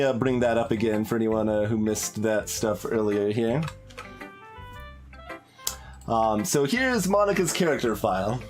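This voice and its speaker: Man musing over game, music behind. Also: medium pitch. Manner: musing aloud, hesitant. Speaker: gaming streamer. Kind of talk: casual live playthrough commentary.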